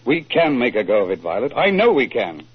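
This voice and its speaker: An actor in a 1940s radio drama, playing an educated gentleman with a clipped, theatrical delivery. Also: mid-range voice, very animated, fairly quick, slightly rough. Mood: contrite. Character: bitter, hard-drinking writer husband; also coolly incisive gentleman detective. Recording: muffled lo-fi 1940s radio recording.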